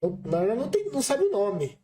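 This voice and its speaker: Mellow Brazilian podcast co-host. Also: medium pitch, wildly swooping pitch, fast talker. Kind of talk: casual film discussion.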